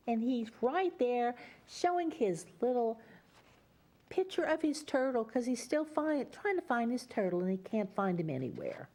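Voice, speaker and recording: A woman reading a picture book aloud as a Mother Goose storyteller, pausing for chatty explanations to young listeners. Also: on the high side, dark tone. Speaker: children's storyteller and host.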